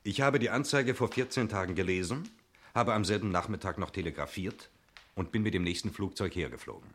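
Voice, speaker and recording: An actor in a 1960s German radio play, playing a breezy, talkative stranger who is glib, jovial and evasively polite. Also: male voice, deep voice, brisk, fairly bright tone. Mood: smoothly explaining. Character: breezy, talkative male stranger claiming to own the chalet.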